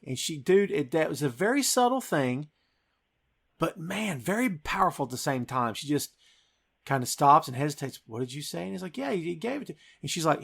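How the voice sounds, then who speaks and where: A man's voice: a little high, creaky, husky. A man hosting a podcast, retelling a film scene by scene in an enthusiastic, emphatic conversational way. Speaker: podcast host.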